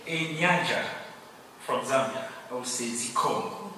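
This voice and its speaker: A man from Cameroon, a native Yemba speaker, giving an earnest, grateful award acceptance speech with measured pauses. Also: medium pitch, sharp tone. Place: large hall. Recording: echoey hall, distant mic, noisy.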